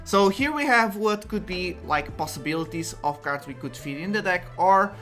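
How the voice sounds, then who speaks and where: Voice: medium pitch, animated, moderate pace, fairly bright tone, soft background music. A content creator with a Portuguese accent, explaining a card game strategy in a casual, chatty way and often restarting sentences. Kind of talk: casual explainer talk to camera.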